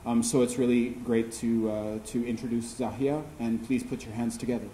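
A man moderating a panel, introducing the next speaker in a halting way with many fillers, recorded in a university classroom. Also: mid-range voice, flat delivery, dark tone. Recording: echoey classroom, faint noise.

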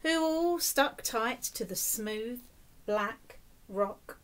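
Slow, lively woman reading a storybook. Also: a little high. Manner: animated, slow and deliberate, prompting young listeners. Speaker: teacher reading a picture book to young children. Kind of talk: expressive storybook read-aloud with questions to children. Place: indoors, small room with decorated wall.

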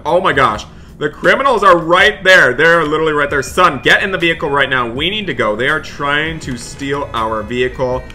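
A man hosting a gaming roleplay as a dad, narrating excitedly in a dramatic, exasperated way and repeating himself. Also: medium pitch. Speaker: gaming video host playing a dad in roleplay. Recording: background music behind voice. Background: music.

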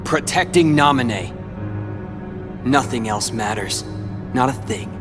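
A young actor playing a bitter rival who speaks coldly and slowly. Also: male voice, medium pitch, lively intonation, natural voice. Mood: brooding. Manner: cold, taunting, slow. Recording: game audio with loud music.

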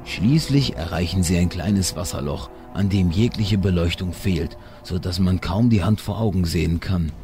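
A man's voice: sits low, normal speed, hardly any pauses, warm tone, soft background music. A man reading scripted narration for a fantasy audio drama.

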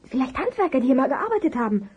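Cheeky child sleuth for radio play, played by a female: high, very husky, eager and confident. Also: sing-song, fast, a little mellow, highs cut off, heavily acted. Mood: confident, helpful. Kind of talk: German audio drama acting.

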